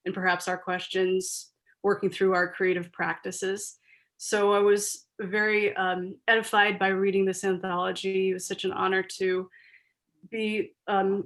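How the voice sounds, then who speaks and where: Woman moderator on a video call, earnest and gushing, mid-pitched, um-heavy intros. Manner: earnest, gushing, with frequent ums. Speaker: panel moderator.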